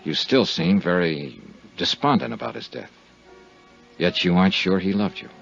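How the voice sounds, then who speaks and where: A man's voice: low-pitched, expressive, normal speed, creaky, fairly bright tone, faint music behind, full character voice. An actor playing a wry, laconic male insurance investigator in a 1950s radio drama, speaking in a dry manner. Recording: muffled 1950s radio broadcast.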